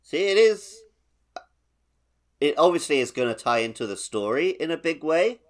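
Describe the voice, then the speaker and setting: A man's voice: a little high, sing-song, moderate pace. A man co-hosting a podcast, discussing a game casually and explaining things informally with loose, conversational phrasing. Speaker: podcast co-host.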